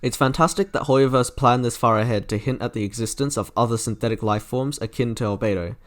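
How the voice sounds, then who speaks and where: A man's voice: mid-range voice, a little fast. A man delivering scripted, enthusiastic review narration in an articulate, wordy way, like a video essayist. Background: music playing under the narration.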